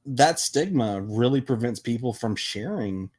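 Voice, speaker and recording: Halting, emphatic man in podcast discussion. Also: medium pitch, expressive, darkish tone. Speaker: podcast panelist and visual novel developer.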